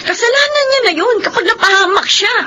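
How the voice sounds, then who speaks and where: Noisy radio drama audio with a grown elder sister whose voice is extremely high. She is resentful and scolding, her indignant lines rising and falling animatedly. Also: lively intonation, fairly quick, pushed and bright, heavily acted. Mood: hurt, indignant. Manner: reproachful, indignant, emotionally heightened. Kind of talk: Filipino radio drama acting.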